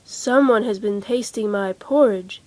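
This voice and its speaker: A woman voicing a big, indignant father bear in a bedtime storybook, with slow, weighty delivery. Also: mid-range voice, warm tone, no room sound, natural voice.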